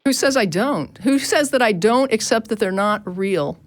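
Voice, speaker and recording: High-voiced, insistent woman. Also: lively intonation, normal speed. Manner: argumentative, insistent. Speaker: call-in show host. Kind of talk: debating with a caller.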